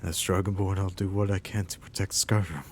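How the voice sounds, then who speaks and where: A man's voice: deep voice, steady tone, a little fast, a little hiss or hum, natural voice. A man playing a humble, earnest warrior hero in a fantasy game roleplay, speaking hesitantly and sincerely. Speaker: roleplay player.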